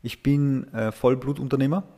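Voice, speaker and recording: A man's voice: mid-range voice, fairly even intonation, moderate pace, mellow. A man, speaking as an interview guest, hesitant, with many filler sounds and easy laughs. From Austria. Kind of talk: casual interview talk.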